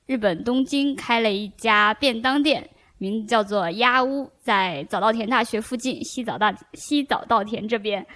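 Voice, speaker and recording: A woman co-hosting a podcast in casual chat, talking in a chatty, self-deprecating way. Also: medium pitch. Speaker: podcast co-host.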